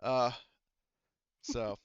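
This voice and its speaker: Wry male game master, clear voice. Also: medium pitch, fairly even intonation, extremely slow, no echo, highs cut off. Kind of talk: casual tabletop game chat and narration.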